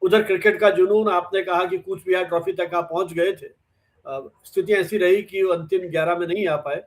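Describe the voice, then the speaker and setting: An older man hosting a reminiscing interview, putting his questions deliberately, clause by clause. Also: high-pitched, fairly even intonation. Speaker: interviewer, host. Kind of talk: warm reminiscing interview in conversational Hindi.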